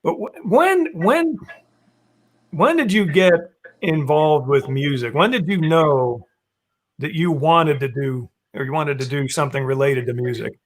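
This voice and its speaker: A hoarse man hosting a casual live-stream show, rambling a little and reading out viewer comments. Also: a little high, lively intonation, measured pace. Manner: rambling, laughs often, reads viewer comments. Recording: faint background noise.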